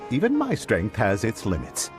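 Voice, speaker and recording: A man's voice: lowish voice, huge pitch swings, moderate pace. A man voicing a good-natured, slightly grumbling porter in a video game, casual and down-to-earth. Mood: defensive, grumbling. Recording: background music.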